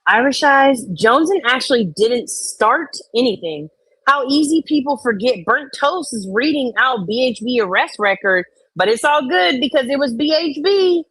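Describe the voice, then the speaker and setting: A woman's voice: sits high, animated, normal speed, a bit bright, breathy edge, dry recording, poor audio. A woman co-host giving casual commentary, reading comments out dramatically and reacting bluntly, with exasperation and free swearing.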